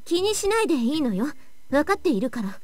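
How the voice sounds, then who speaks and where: A gentle, reassuring young woman for a visual novel; slightly high. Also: sing-song, a little fast, faint music behind.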